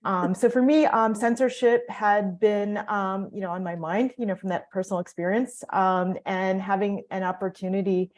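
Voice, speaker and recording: A woman's voice: medium pitch, steady tone, moderate pace, hardly any pauses. A woman from the American Midwest, speaking as a panel guest, with a hesitant delivery full of fillers. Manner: hesitant, many ums and you knows.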